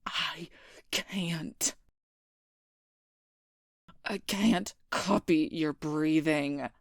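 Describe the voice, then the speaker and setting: A woman playing a wounded, protective but gruff female werewolf knight in an intimate audio roleplay, husky and halting, often dropping into a whisper. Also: lowish voice, fairly bright tone, no echo, clean studio sound. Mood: desperate, pleading. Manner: halting, strained delivery with sighs.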